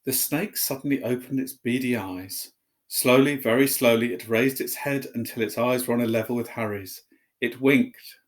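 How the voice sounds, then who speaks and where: A man's voice: medium pitch, normal speed. A man telling a story, reading aloud expressively as a narrator.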